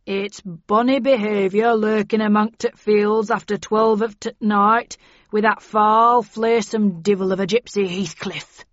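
A woman's voice: mid-range voice, steady tone, measured pace, no echo, lo-fi sound, lightly acted. A woman voicing a sour old manservant who grumbles and rants in a Yorkshire accent. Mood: indignant ranting. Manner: grumbling dialect rant.

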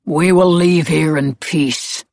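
A woman's voice: low voice, steady tone, vocal fry, a little mellow, no room sound, clean studio sound, exaggerated voice. A woman voicing a proud male werewolf pack leader in an audiobook, formal and threatening in manner. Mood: menacing, defiant. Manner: formal, slow, warning speech.

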